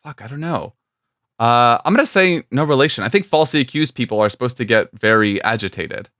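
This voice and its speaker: A man's voice: mid-range voice, animated, a little fast, fairly bright tone, no echo, clean studio sound. Joking man thinking aloud. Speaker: podcast co-host. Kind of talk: casual podcast chat.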